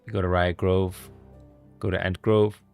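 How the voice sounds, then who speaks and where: Deep-voiced man chatting on a stream. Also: level tone, measured pace, creaky, darkish tone, clean tone, soft background music. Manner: rambling, thinking aloud. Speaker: livestream host. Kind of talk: casual livestream chat about game lore.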